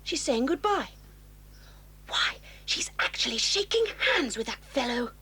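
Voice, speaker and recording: A woman's voice: high-pitched, very animated, a little slow, bright, forceful tone, breathy edge, exaggerated voice. A woman playing a snobbish, sly schoolgirl with a prim, haughty manner in a children's audio drama. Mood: nosy disapproval.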